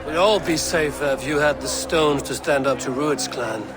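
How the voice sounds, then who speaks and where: An actor playing a cool, self-assured Viking raider with a dry, taunting wit in a video game. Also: male voice, fairly high pitch, moderate pace, a crowd in the background, natural voice. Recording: game audio with music behind.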